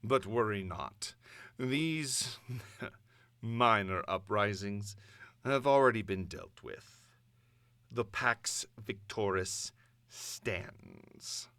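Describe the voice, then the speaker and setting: A man playing an imperious, cold comic-book supervillain dictator, delivering a measured, grandiose address with pompous authority. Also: lowish voice, lively intonation, pushed and bright, no echo, full character voice. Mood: menacing calm. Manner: measured, pompous, speaking of himself in third person. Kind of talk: dramatic comic-book villain monologue, broadcast address.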